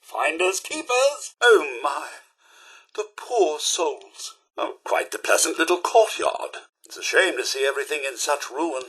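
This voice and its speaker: An actor playing a prim, loyal robot butler in a video game, with a British accent and a formal, theatrical, fussily polite manner. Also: male voice, on the high side, sing-song, a little slow, a bit bright, husky, exaggerated voice. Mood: cheerful, proud, then musing. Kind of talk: scripted video game companion dialogue.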